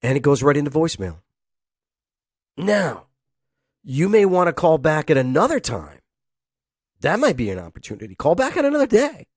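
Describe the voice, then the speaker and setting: A man's voice: fairly high pitch, wildly swooping pitch, moderate pace, pauses often, a little mellow, breathy edge, no echo. Stuffy nasal guy with a cold chatting on his podcast, rambly, chuckles a lot, dead dry sound. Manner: rambling, chuckles often, acts out phone calls. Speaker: podcast host. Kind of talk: casual solo podcast talk.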